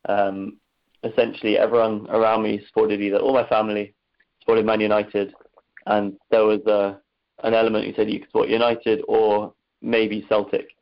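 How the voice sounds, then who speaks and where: Irish guest, low and flat, understated, lo-fi. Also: male voice, moderate pace. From Ireland, Dublin. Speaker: podcast guest. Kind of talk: casual podcast chat.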